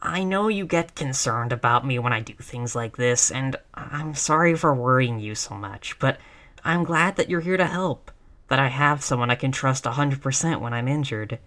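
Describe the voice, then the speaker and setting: A woman's voice: very deep voice, lively intonation, moderate pace, a bit bright. A woman playing a tough, reckless girlfriend in a roleplay audio, brash but affectionate, with casual, sweary bravado. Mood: tender, sincere. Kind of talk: intimate roleplay audio acting.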